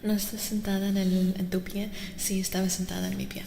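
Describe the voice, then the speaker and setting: A woman speaking softly and gently in a breathy voice that often drops to a whisper, repeating soothing phrases as she chats with viewers on an ASMR tarot stream. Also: mid-range voice, little pitch movement, fast. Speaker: streamer giving tarot card readings. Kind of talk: soft-spoken ASMR live stream, chatting with viewers. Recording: roomy reverb, clear background noise.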